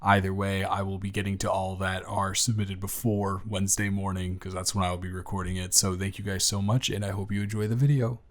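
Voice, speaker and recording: Low-key man chatting to listeners. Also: level tone, a little fast, hardly any pauses. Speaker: audio roleplay creator. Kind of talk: casual spoken intro to listeners.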